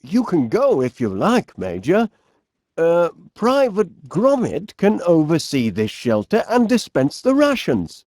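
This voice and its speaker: An actor playing a cheerful, eccentric middle-aged English inventor with a Northern English accent, chummy and old-fashioned in his phrasing, in an animated video game. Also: on the high side, slow pace, no echo, studio quality, exaggerated voice. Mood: politely encouraging.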